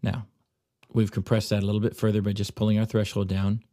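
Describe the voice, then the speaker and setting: A man presenting an audio software tutorial, narrating instructional steps as he demonstrates on screen. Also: on the low side, level tone, quick pace, a little hiss or hum.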